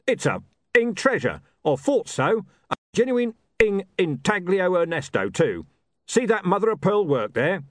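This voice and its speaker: A man voicing a thug who is an excited, emphatic antiques lover in an audiobook story. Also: high voice, measured pace, no echo, pitched above the performer's own voice. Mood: amazed, excited.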